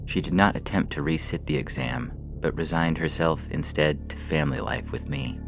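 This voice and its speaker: A very low-pitched man narrates literary fiction with a gravelly, creaky edge. His reading is measured and formal, and music plays clearly beneath his voice. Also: animated. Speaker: story reader. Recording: music bed under the voice.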